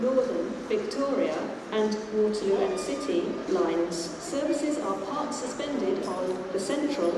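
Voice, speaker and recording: A fairly high-voiced English woman reads scripted railway announcements in a formal, measured, pieced-together automated delivery. Her voice comes from distant public address speakers, ringing with heavy echo through the station over clear background noise. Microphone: distant recorder picking up loudspeakers. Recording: echoey station tannoy from far off, noisy. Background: clear station ambience noise.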